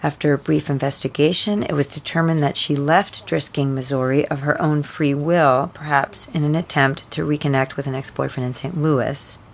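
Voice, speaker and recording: A woman playing a measured, persistent interviewer in a naturalistic audio drama, deliberate and probing. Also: low-pitched, steady tone, gravelly, a little hiss or hum. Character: measured, persistent interviewer reading a case report.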